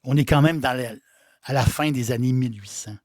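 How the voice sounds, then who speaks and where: A man's voice: mid-range voice, brisk, darkish tone, no room sound, clean studio sound. A man with a Québécois French accent, an innkeeper hosting a casual, folksy talk who often repeats his words. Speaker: innkeeper host. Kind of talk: casual talk about a liqueur.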